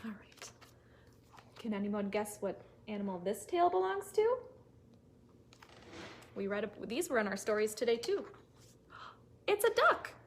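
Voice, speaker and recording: A woman leading a children's story time, reading aloud in an animated, sing-song way and putting questions to young listeners. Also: medium pitch, unhurried, pauses often, slight background noise. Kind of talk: animated read-aloud for toddlers.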